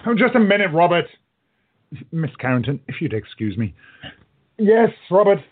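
A man's voice: sits high, big pitch swings, normal speed, breathy edge, no echo, full character voice. A man playing a polite, wry time traveller in his late thirties who runs a bookstore, in a radio play. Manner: polite, wry, precise phrasing. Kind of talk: audio drama acting. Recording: muffled, narrow phone-like audio.

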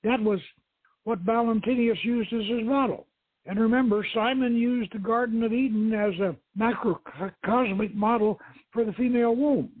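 A man's voice: very high-pitched, moderate pace, husky, pitched above the performer's own voice. A man voicing a wry, pedantic scholar-adventurer in a dramatic novel reading. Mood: explanatory. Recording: phone-like thin line.

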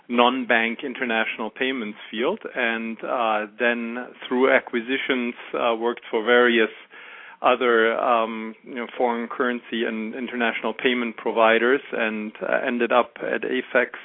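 A man with a German accent, a guest expert on international payments, speaking in a measured way with many fillers. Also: mid-range voice, no room sound. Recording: phone-like line.

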